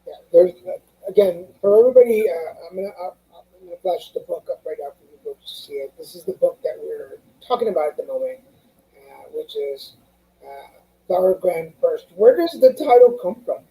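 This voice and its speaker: A woman's voice: fairly low pitch, animated, normal speed, warm tone, rough recording. A woman hosting an interview show, asking curious questions in a conversational way. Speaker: show host. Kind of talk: casual interview.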